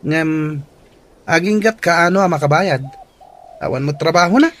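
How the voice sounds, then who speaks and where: High-pitched, cocky young Ilocano suitor played by a man. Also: lively intonation, unhurried, a bit bright. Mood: scornful. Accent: Ilocano Filipino. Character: cocky, mocking young male student, rival suitor. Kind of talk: Ilocano radio comedy-drama acting.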